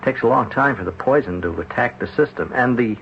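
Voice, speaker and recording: Patient doubting shrink in old radio drama, thoughtful pauses, voice moves around a lot, dull hissy old recording. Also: male voice, medium pitch, expressive, gravelly. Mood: sober, sympathetic. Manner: hesitant, thoughtful, with hums and pauses. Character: measured, patient male hospital psychiatrist, gently skeptical. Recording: muffled, noisy old radio broadcast recording.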